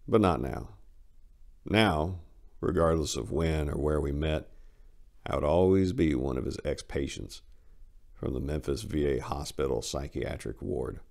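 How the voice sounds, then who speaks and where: A man's voice: extremely low pitch, a little slow, vocal fry, darkish tone. A man presenting and narrating short stories in an emphatic, theatrical reading style. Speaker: channel host, author reading stories. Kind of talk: scripted introduction and dramatic narration.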